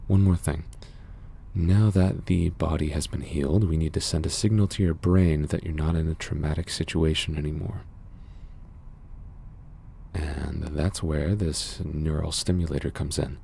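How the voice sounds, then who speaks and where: Casting: male actor as mysterious sci-fi ASMR doctor, extremely low and mellow, soothing, measured, guarded. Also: fairly even intonation, vocal fry, a little hiss or hum, exaggerated voice. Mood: soothing, hypnotic. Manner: gentle, measured, formal, reassuring. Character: mysterious, calm, guarded underworld cyberpunk doctor, man. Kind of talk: ASMR roleplay, soothing scripted monologue.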